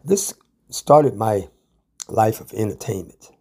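Male American storyteller, autobiographical. Slightly husky, dark tone, takes his time. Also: on the low side, lively intonation, slow. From Ann Arbor, Michigan, USA. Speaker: guest storyteller.

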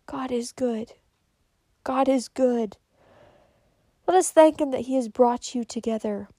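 A woman voicing a kindly, devout old nurse in a storybook, speaking in a gentle, soothing way. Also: fairly high pitch, brisk, dry recording, clean studio sound, lightly acted. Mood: tender, devout.

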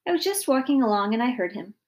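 A woman's voice: fairly high pitch, sing-song, fast. A woman voicing an eager, chatty, persistent little boy in a children's story.

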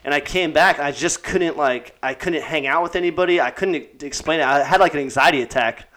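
A man's voice: on the high side, brisk, pushed and bright. A man hosting a casual, laid-back chat with an old army buddy. He laughs often and swears easily.

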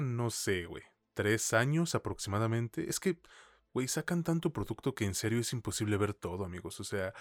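Casting: male podcast co-host, Mexican, fairly deep voice, informal slang-heavy reviewing, dry echo-free sound. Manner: informal, peppers talk with slang. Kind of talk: casual film review chat.

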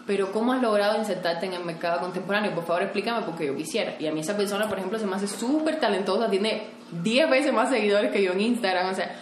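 A woman's voice: mid-range voice, fast talker, few pauses. A woman from Cuba, a photographer talking frankly and animatedly as an interview guest. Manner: frank, animated, laughs easily. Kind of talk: relaxed conversational interview. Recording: echoey room, faint ambient noise.

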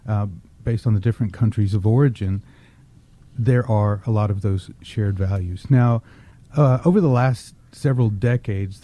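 A man from Texas, founder and CEO of an agency, giving measured interview answers with frequent ums. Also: mid-range voice, warm tone. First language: English, also grew up with Spanish.

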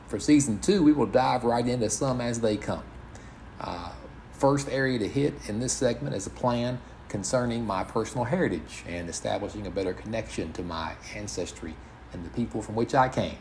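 Low-pitched man, some background noise. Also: darkish tone. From United States. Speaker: podcast host. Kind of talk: informal solo podcast monologue.

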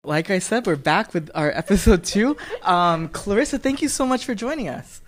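A man's voice: high voice, animated, normal speed, soft background music. A young man co-hosting a faith podcast, easygoing, often answering with short affirming replies. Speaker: podcast co-host. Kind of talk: casual chat.

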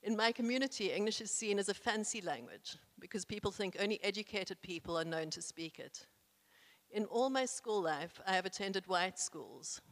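A young woman from South Africa with a South African accent and isiXhosa as her first language, reading her own essay aloud in an earnest, proud, deliberate way. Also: mid-range voice, expressive. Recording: echoey room with long reverb.

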